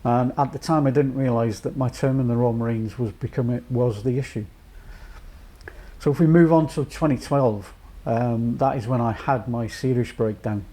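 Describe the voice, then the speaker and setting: A man from North Wales in his late fifties, a charity chief executive giving a measured, reflective personal account with many pauses. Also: mid-range voice, steady tone, dark tone. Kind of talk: personal testimony to camera.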